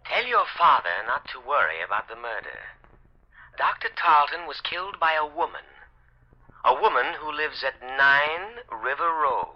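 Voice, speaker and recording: An actor playing a mysterious, menacing caller through a telephone filter, deliberate and ominous, in 1940s radio mystery style. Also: male voice, fairly high pitch, sing-song. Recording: muffled telephone voice on old radio.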